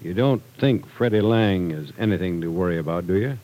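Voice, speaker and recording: An actor playing a considerate middle-aged husband, earnest and hesitant, in 1940s radio soap style. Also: male voice, low voice, a little fast, dark tone, close to an everyday voice. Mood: earnest, probing.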